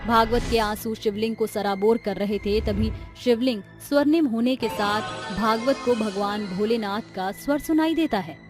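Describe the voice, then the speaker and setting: High-pitched woman storyteller over music. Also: normal speed, hardly any pauses. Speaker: narrator. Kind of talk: scripted storybook narration.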